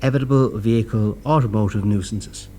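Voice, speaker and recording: A man playing a pedantic, deadpan monster roommate who is easily affronted, in an audio drama. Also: on the low side, fairly quick, close to an everyday voice. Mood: casual, coaxing. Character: fussy male purple furry monster roommate, bespectacled, pedantic.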